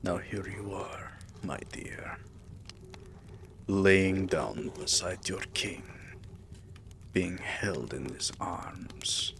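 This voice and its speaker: Guy doing a doting possessive vampire king for asmr roleplay, low gravelly voice, soft breathy whispers, noisy background. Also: animated, extremely slow, pauses often, exaggerated voice. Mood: tender, devoted. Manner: soft, breathy, courtly endearments. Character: tender, possessive male vampire king doting on his human pet. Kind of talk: intimate roleplay ASMR, often dropping to a whisper.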